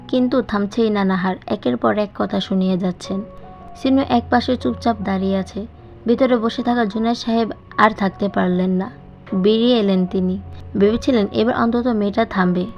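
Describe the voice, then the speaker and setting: A woman's voice: mid-range voice, highs cut off. A woman reading a scripted dramatic story as an audiobook narrator. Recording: slightly band-limited, music bed behind. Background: background music.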